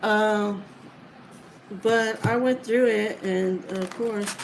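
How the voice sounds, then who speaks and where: A woman with a mid-range voice talks casually to her viewers at a slow pace. She meanders and lets thoughts trail off unfinished. The recording sounds homemade, with clear noise behind her. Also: medium pitch, steady tone. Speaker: reader of books for listeners. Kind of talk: casual rambling chat to viewers.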